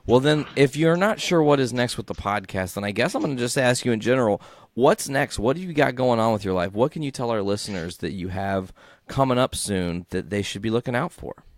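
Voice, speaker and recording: A man's voice: mid-range voice, animated, a little fast, no echo. A man hosting a podcast interview, upbeat and encouraging toward his guest. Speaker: podcast host. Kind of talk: casual interview chat.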